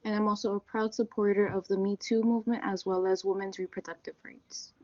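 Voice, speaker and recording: A woman voicing a twenty-eight-year-old female engineer and reading her profile briskly. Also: medium pitch, flat delivery, darkish tone, dry recording, muffled recording, lightly acted. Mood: plain.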